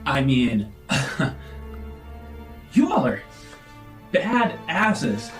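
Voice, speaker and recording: A man voicing a cheeky, excitable young crewmate in a tabletop game, brash and playful. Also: medium pitch, very slow, faint music behind. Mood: cheeky, excited.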